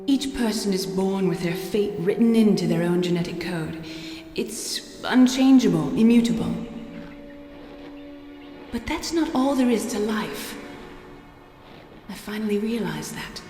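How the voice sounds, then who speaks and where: Long reverb surrounds an earnest woman geneticist's monologue from a video game, voiced by an actress. She is tender and resolute, speaking in a measured, solemn way that softens at phrase ends. A musical score runs clearly behind her. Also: mid-range voice, music in the background, lightly acted. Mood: reflective, regretful.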